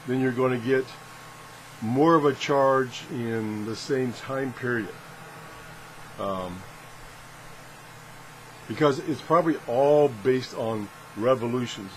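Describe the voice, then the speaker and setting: A man's voice: mid-range voice, slight background noise, rough recording. A man giving a casual, unscripted product demonstration, rambling and thinking aloud in a good-humored, self-deprecating way. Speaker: host of a radio product test video.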